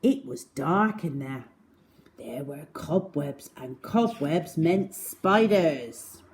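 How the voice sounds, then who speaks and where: Slow, animated woman reading a children's story. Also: sits low. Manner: dramatic, animated.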